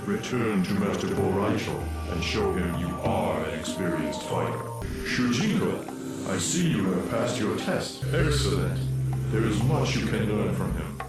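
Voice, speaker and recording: A man's voice: deep voice, expressive, a little slow. A man playing a grave, commanding disembodied spirit guide in a fantasy video game, speaking as a formal, solemn mentor with a ghostly echo. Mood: solemn, instructive. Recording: echoing, distant voice under loud game music. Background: loud music.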